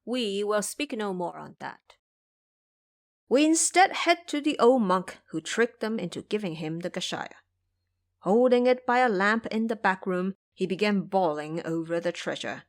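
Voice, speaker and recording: A woman narrates an audio drama at a middle pitch. Her delivery is scripted storytelling, shaped dramatically for a fantasy tale. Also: expressive, measured pace.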